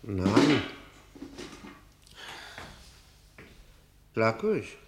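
An actor playing an embittered, tormented lifer in a 1960s German radio play, speaking in brooding, dramatic monologue with halting pauses. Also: male voice, on the low side, sing-song, heavy background noise. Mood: anxious, probing. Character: embittered, tormented male prisoner serving life.

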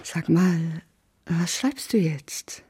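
An actress playing a flirtatious, teasing lover in an intimate radio drama, with a husky, breathy voice. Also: female voice, on the low side, lively intonation, slow pace, background noise. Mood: teasing, seductive. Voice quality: husky, breathy half-whisper.

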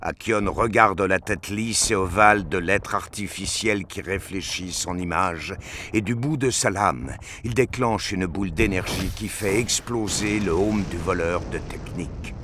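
A man's voice: low-pitched, unhurried, fairly bright tone, slight background noise. A man giving a dramatic scripted narration of a science fiction action story.

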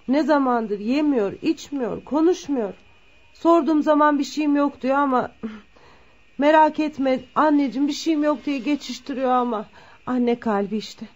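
An actress playing a worried, gentle middle-aged mother in a radio play, anxious and pleading. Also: high voice, measured pace. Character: worried, gentle mother of a troubled grown son. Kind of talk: Turkish radio theatre acting. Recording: dull lo-fi radio drama recording.

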